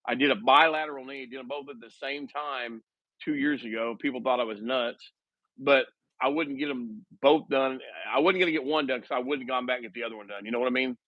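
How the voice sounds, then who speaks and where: A man's voice: mid-range voice, no echo, dull audio. A man in his fifties, a guest making casual conversation, who often restarts his sentences and jokes at his own expense. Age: 50s. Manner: halting, frequent restarts; self-mocking humor.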